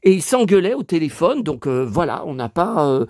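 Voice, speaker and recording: A man's voice: a little high, very animated, normal speed, darkish tone, dry recording, studio quality. Rambling man in his fifties with a cold. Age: 50s. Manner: rambling, hesitant, many fillers and restarts. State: stuffy with a head cold; dry mouth. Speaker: collector vlogger. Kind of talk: rambling casual monologue to viewers.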